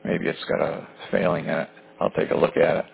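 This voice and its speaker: Hoarse, gravelly deep-voiced man on radio. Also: level tone. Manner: easygoing, halting with many fillers. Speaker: amateur radio operator. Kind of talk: casual radio chat. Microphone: radio transceiver mic. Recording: narrow radio band.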